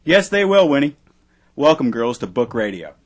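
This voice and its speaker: An actor playing a glib, self-satisfied radio interview host in a satirical audio drama. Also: male voice, medium pitch, sing-song, normal speed, no echo, studio quality. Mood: upbeat.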